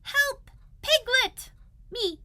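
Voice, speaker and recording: A woman voicing a very small, timid piglet who is anxious and wistful, in a squeaky, plaintive storybook manner. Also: lively intonation, extremely slow, bright, forceful tone, exaggerated voice. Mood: frantic pleading.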